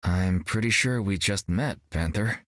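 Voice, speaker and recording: An earnest martial arts hero for a video game. He has a lowish voice and a casual, easygoing way of talking, recorded dry. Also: measured pace, a bit bright, dry recording. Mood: curious, easygoing. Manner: casual, questioning. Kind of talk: video game character voice acting.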